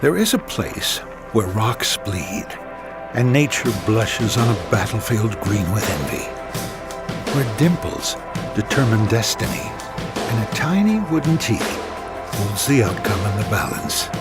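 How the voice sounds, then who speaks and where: Male narrator, lower register, solemn and measured dramatic promo read, heavy music bed. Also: animated. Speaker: voice-over narrator.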